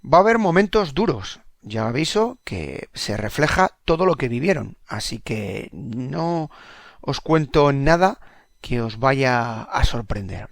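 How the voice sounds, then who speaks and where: A man's voice: medium pitch, big pitch swings, unhurried, gravelly, no echo, studio quality. Man from Spain introducing an audio drama. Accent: Spanish from Spain. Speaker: podcast host.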